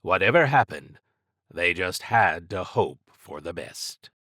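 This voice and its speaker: A man reading scripted fiction as an audiobook narrator, in a measured, suspenseful storytelling manner. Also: deep voice, expressive, pushed and bright, no room sound, studio quality.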